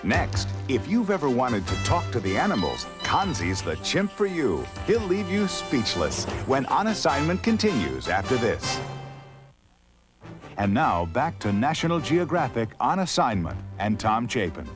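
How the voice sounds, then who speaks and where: A man's voice: medium pitch, wildly swooping pitch, unhurried. A man reading a scripted television promo as a continuity announcer. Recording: music under the voice. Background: background music.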